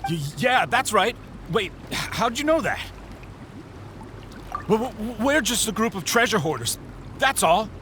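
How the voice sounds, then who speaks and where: A man playing a jumpy, eager bandit underling in a video game, speaking excitably. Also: high voice, measured pace, long pauses, slight background noise, exaggerated voice. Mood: nervous.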